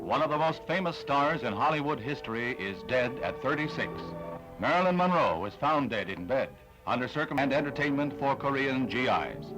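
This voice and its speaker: A man's voice: mid-range voice, lively intonation, moderate pace, poor audio. A man narrating a 1960s newsreel in a solemn, formal announcer manner. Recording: vintage newsreel soundtrack with music. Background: background music.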